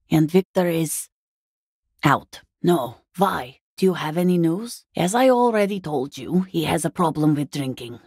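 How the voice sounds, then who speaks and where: A woman playing a weary, worried middle-aged working-class wife and mother in a video game, with a husky, gravelly voice and a halting, plain-spoken delivery. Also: fairly low pitch, darkish tone, no room sound, studio quality, exaggerated voice. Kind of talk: dramatic game voice acting.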